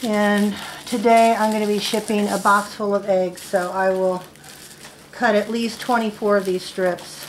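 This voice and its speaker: A woman's voice: mid-range voice, little pitch movement, a little slow, slight background noise, rough recording. A middle-aged woman giving a casual, plain-spoken step-by-step demonstration at a table indoors. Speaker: farmer giving a how-to demonstration. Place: indoors at a wooden table in a home.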